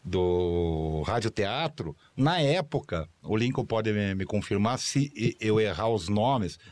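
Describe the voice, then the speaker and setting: An older man and former show producer, recounting stories in a rambling way with slow, low asides. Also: animated, a little mellow, dry recording. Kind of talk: anecdotal storytelling.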